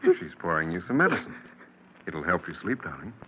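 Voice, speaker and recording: An actor playing a doting, anxious, yielding father in a 1940s radio drama, earnest and melodramatic, with a husky voice. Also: sits low, very animated, a little fast, a little hiss or hum. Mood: gentle. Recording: dull 1940s radio recording.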